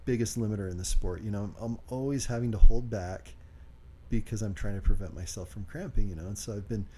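A man co-hosting a casual podcast, speaking quietly and hesitantly with lots of fillers. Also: fairly low pitch, fast talker, slight background noise. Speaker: podcast co-host.

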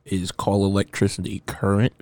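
Low gravelly man joking around. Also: little pitch movement, normal speed, mellow, no echo. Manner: joking, crude. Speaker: podcast co-host. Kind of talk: casual chat.

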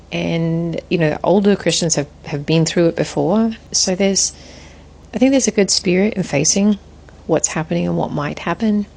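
Woman in her 40s with a low fry-tinged voice, thinking out loud as a guest. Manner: thoughtful, measured, with frequent restarts. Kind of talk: reflective interview conversation.

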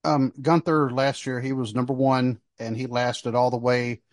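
Man, medium pitch, casual sports podcast talk, conversational, opinionated, dry recording. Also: level tone, normal speed, no echo. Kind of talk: casual wrestling discussion.

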